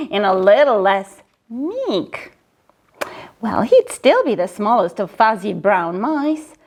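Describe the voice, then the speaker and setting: Slow, expressive woman storyteller. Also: mid-range voice. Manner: dramatic, addresses young listeners with questions. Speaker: children's storyteller. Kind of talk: expressive storybook read-aloud.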